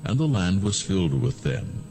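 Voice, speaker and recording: A man giving solemn, measured scripted narration of scripture as a storyteller. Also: on the low side, expressive, creaky, dark tone, faint music behind.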